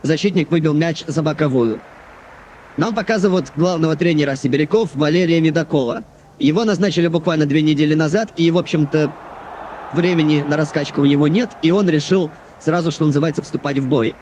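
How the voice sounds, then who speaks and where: A man's voice: on the high side, fairly quick, a little mellow, natural voice. A man playing a chatty television football commentator calling a match. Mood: engaged. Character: chatty TV football commentator around year 2000. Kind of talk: sports commentary. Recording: noisy old VHS television broadcast.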